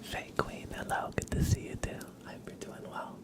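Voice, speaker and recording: A man hosting an ASMR livestream, chatting casually and softly to viewers in an unhurried way, close to the microphone. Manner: soft-spoken, mostly whispering, unhurried.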